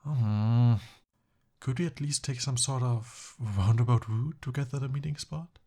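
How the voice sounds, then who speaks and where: A man playing a smooth-talking, eager young captive prince in an ASMR roleplay, with formal, courtly and hesitant phrasing. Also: medium pitch, a little slow, dark tone. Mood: pleading.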